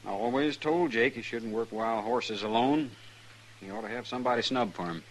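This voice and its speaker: An actor playing a plain-spoken, earnest ranch hand with an American Western drawl in a 1950s radio drama. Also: male voice, medium pitch, moderate pace, lightly acted. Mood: rueful. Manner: folksy, earnest. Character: plain-spoken male ranch hand protesting his innocence. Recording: noisy old radio recording.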